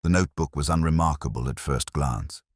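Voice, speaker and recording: A man playing a brooding, erudite middle-aged detective, narrating an audio drama in measured, reflective, literary phrases. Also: rumbling low, no room sound, clean studio sound. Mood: brooding, wistful. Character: brooding, erudite male English police detective, melancholy, observant.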